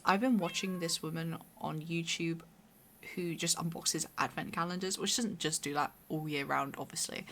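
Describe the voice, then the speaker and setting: A young woman with a hoarse, raspy voice, chatting casually and rambling while streaming a game. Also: low voice, fairly bright tone, slight background noise. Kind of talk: casual chat while gaming.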